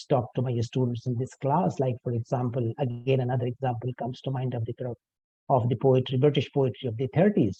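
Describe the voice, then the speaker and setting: An older man, a native Urdu speaker, giving a measured, formal academic talk about his book, pausing often with "uh" and correcting himself. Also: medium pitch, mellow, slightly rough, no echo, dull audio. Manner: measured, formal, with frequent "uh" pauses and self-corrections. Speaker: author presenting his book.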